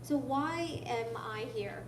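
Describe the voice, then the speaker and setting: Soft-spoken Canadian-raised woman, higher pitch, hesitant informal lecturer, picked up from a distance. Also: animated, slow pace. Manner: hesitant, conversational, asks rhetorical questions. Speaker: guest speaker, software development manager. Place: lecture room at a tech school. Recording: echoey room, distant mic, noisy background.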